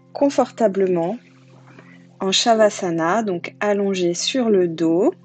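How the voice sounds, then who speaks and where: A woman leading a guided yoga nidra meditation as an instructor, calm and soothing, leaving long pauses. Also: mid-range voice. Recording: slightly dull lo-fi sound, music behind. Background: music.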